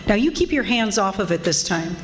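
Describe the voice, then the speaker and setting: An actress playing a firm, scolding wife in a 1940s radio comedy, insistent in manner. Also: female voice, mid-range voice, lively intonation, a little fast, close to an everyday voice.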